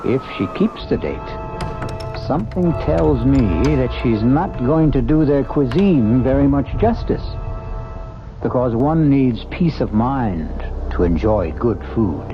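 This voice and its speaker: A man's voice: mid-range voice, sing-song, takes it slowly, highs cut off. A man hosting a 1970s radio mystery drama, narrating in a wry, theatrical way over music. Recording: vintage radio broadcast with music.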